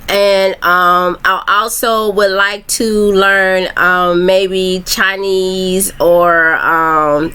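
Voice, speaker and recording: A bright, clear-voiced middle-aged woman talks casually to her viewers. She rambles in a loose, friendly way, full of ums and fresh starts. She sounds like a self-esteem coach going live. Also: mid-range voice. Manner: loose and chatty, many ums and restarts. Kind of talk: casual live video chat.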